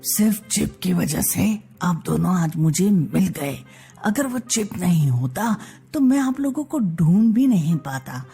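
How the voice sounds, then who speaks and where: A woman's voice: fairly low pitch, expressive, a little slow, vocal fry, mellow, breathy edge, soft background music, pitched below the performer's own voice, exaggerated voice. A woman voicing an exasperated husband and son who lectures reproachfully in a family story.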